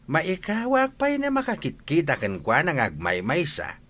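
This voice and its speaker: A man acting as animated, forceful father. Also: a little high, a little slow, full character voice. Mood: admonishing. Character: stern, worried father advising his grown son. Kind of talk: audio drama acting.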